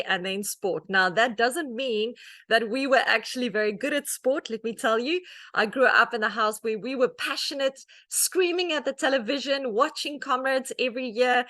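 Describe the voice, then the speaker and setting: A woman's voice: mid-range voice, no echo, studio quality. A middle-aged South African woman with an Afrikaans accent, Afrikaans being her first language, giving a personal faith testimony to an audience in an earnest, conversational way. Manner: earnest and conversational, many restarts and "um"s, animated when telling stories. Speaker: guest speaker, author.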